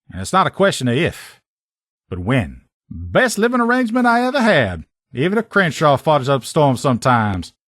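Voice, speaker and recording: Cocky wasteland lieutenant for a video game, blunt salty talk, pitch jumps around a lot, really dry close sound. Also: male voice, no room sound. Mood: frank, opinionated. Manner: blunt, colloquial, salty-tongued, sardonic. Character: brash male sheriff's lieutenant, proud power armor mechanic, post-apocalyptic soldier. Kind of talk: video game character dialogue.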